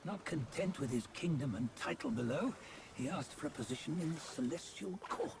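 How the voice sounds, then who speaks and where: An actor voicing a stern, judgmental sage in a video game cutscene, speaking in measured, archaic, sententious phrases. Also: male voice, a little high, animated, creaky, noisy background. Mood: disdainful. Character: stern, judgmental sage narrating old sins.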